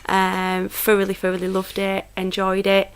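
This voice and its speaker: A woman's voice: mid-range voice, completely flat delivery, normal speed, clean tone. A woman in her thirties from Lancashire with a Lancashire accent, a friendly, upbeat interview guest who talks with plenty of fillers. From Lancashire, England. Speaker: guest, football club PR manager. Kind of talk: casual interview chat. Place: small home studio.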